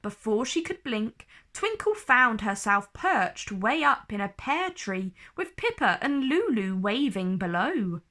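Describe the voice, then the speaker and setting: A woman storyteller reading a children's book aloud in an expressive, careful, theatrical way. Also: on the high side, takes it slowly, few pauses.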